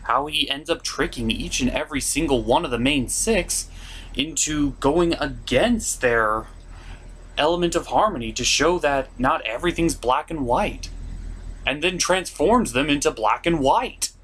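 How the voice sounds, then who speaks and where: Animated man reviewing, noise behind him. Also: a little high, a little slow. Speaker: cartoon reviewer and show host. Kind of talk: casual scripted review commentary. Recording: noticeable background noise.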